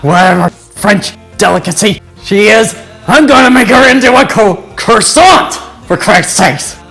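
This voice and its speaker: A woman voicing a hot-tempered teenage boy brawler who yells insults. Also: lowish voice, measured pace, vocal fry, husky, faint music behind, exaggerated voice. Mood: furious. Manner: shouting insults.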